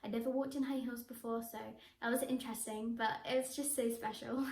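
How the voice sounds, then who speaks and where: Bubbly, high-voiced teen actress. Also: female voice, steady tone, a little fast, a little mellow, a little hiss or hum. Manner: bubbly, enthusiastic, with frequent laughs. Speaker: young actress. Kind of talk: answering interview questions to camera. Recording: echoey room.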